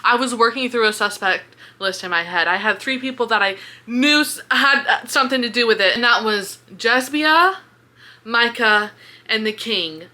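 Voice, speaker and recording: A woman chatting casually about a book, expressive, with plenty of slang and swearing. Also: fairly high pitch, moderate pace. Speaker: book reviewer and vlogger.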